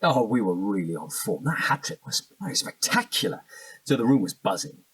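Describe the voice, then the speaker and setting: A man's voice: fairly low pitch, sing-song, normal speed, breathy edge, dry recording, far from the mic. An actor playing an evasive, defensive young British man in a naturalistic audio drama, hesitant and slangy. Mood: reminiscing, evasive.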